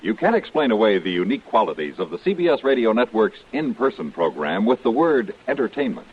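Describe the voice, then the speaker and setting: A man's voice: fairly low pitch, big pitch swings, a little fast, slightly rough. A man announcing a scripted network promo in polished, emphatic 1950s radio style. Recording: old radio, narrow phone-like band.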